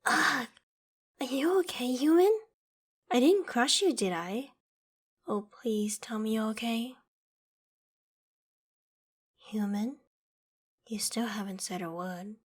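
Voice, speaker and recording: Girl voicing an old dragon lady, haughty and theatrical, really slow talking, asmr roleplay. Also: fairly high pitch, lively intonation, husky. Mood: worried. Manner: theatrical, deliberate, imperious phrasing. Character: ancient female dragon, haughty, menacing, curious about humans. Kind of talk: ASMR roleplay, dramatic monologue to the listener.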